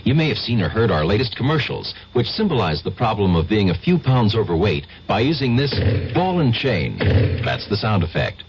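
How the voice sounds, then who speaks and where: A man's voice: sing-song, normal speed. Friendly male radio ad announcer. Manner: friendly, conversational sales pitch. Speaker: commercial announcer. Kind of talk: scripted radio advertisement. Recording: dull vintage radio broadcast, faint hiss.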